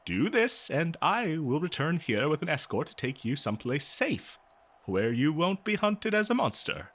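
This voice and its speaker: A man playing a courteous, eager and nervous scholar in a fantasy audio roleplay, speaking politely and haltingly to the listener. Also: on the high side, very animated, a bit bright. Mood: friendly, eager. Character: eager, nervous, courteous male human professor of ancient civilizations.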